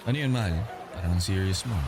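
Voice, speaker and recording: Mapagbirong binatang lalaki. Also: male voice, sits low, fast. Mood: tender. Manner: teasing, playful, laughs often. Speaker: radio drama actor. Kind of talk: radio drama acting. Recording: noisy studio recording.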